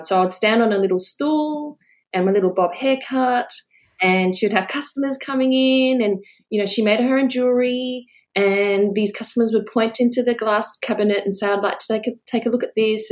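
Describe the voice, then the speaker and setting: A woman, telling her life story as an interview guest in a chatty way, with frequent laughs and fillers. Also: medium pitch. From Australia. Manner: warm, chatty, laughs often, many fillers. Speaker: guest, business owner.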